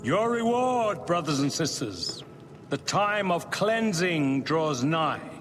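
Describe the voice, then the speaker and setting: An actor playing a grandiose cult leader in a video game, proclaiming doom to the faithful like a prophet. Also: male voice, a little high, sing-song, takes it slowly, fairly bright tone, a little hiss or hum, full character voice. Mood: exalted, menacing. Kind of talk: video game villain acting, oratory. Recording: long hall reverb, faint music behind.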